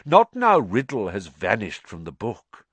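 A man voicing a calm, wise elderly headmaster who speaks in a measured way. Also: lowish voice, breathy edge, dry recording, pitched below the performer's own voice, heavily acted.

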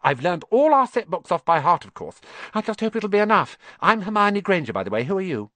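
A man voicing a bossy, know-it-all young schoolgirl who talks very fast. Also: extremely high pitch, wildly swooping pitch, a bit bright, no room sound, voice pushed up, full character voice. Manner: bossy, rapid-fire.

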